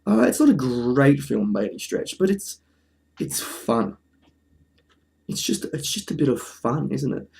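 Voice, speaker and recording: Male presenter, mid-pitched and mellow, informal and chatty, for casual review commentary with a wry touch. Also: very animated, measured pace, vocal fry. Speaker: presenter of a film review video essay.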